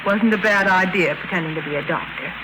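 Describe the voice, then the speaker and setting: An actress playing a mysterious, cool and steely countess in a 1950s radio drama. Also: female voice, on the low side, a little fast, natural voice. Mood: steely, urgent. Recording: noisy vintage 1950s radio recording.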